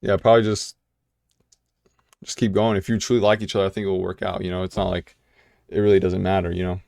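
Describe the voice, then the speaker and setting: A man's voice: low-pitched, little pitch movement, fast, gravelly, a little mellow, no room sound, clean studio sound. A young American man answering viewer questions in a casual vlog, talking loosely with lots of fillers and restarts. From United States. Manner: casual, filler-heavy with many "you know"s and restarts.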